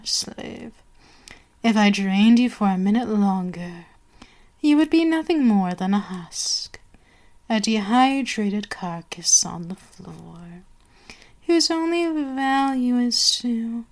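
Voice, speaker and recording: A woman playing an imperious, seductive vampire queen in an ASMR roleplay, haughty and menacing, drifting into breathy whispers. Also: on the high side.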